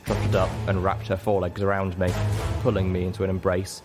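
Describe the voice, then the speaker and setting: Man, very low voice, dramatic audiobook narration, solemn, measured, emotive, light music behind. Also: completely flat delivery. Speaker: audiobook reader.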